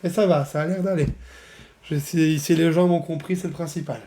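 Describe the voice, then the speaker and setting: A man with a cold, a bit hoarse and nasal, casually presenting software on a live stream. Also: on the high side, steady tone, fairly quick. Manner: chatty, many fillers, laughs often. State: has a cold, slightly hoarse.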